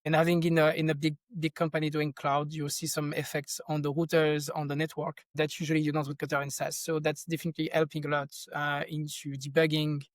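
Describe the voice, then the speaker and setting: A man's voice: fairly high pitch, completely flat delivery, brisk, few pauses, no echo. A middle-aged man from France with a French accent, talking casually and thoughtfully as a guest in an interview. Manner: thoughtful, rambling, with frequent restarts. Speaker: podcast guest, tech company founder.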